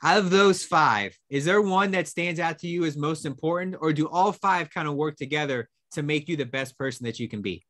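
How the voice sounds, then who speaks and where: A young man hosting a school program, introducing and interviewing a guest with an enthusiastic, energetic delivery. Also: a little high, a little fast, hardly any pauses. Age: young adult. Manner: enthusiastic, energetic, talking with his hands. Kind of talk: upbeat presenter introduction and interview. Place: small room with a brick wall and memorabilia.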